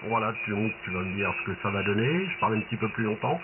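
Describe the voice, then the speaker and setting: Deep-voiced man over very noisy radio. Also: animated, quick pace. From France. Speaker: amateur radio operator testing his microphone. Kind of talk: casual radio test transmission. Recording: noisy, narrow shortwave radio transmission.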